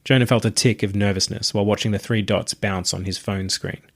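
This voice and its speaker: A man reading a scripted short story as narrator, in a measured, descriptive storytelling manner. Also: lowish voice, steady tone.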